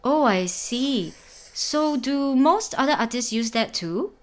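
A woman playing an inquisitive android who poses as a portrait sitter and confronts the artist in an audio drama, in a measured manner. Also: high-pitched, expressive, faint music behind. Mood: sympathetic, curious. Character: probing female android posing as portrait sitter.

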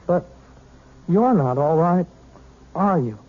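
A young actor in his twenties playing an earnest, lovesick male piano student in a 1970s radio drama, halting and stammering. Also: on the high side, expressive, a little mellow, breathy edge, heavily acted. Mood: concerned. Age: 20s. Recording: tinny lo-fi old radio broadcast.

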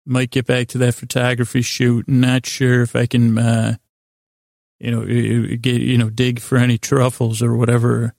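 Very creaky man rambling through a bedtime podcast. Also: mid-range voice, monotone, a little mellow, no echo, clean studio sound. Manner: meandering, halting, digressive, self-deprecating; acts out imagined back-and-forth dialogue in his own voice. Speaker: podcast host.

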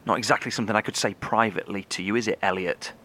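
A man's voice: fairly low pitch, rapid-fire, bright, forceful tone. A man playing an anxious, distrustful companion in a horror audio drama. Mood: anxious, reproachful.

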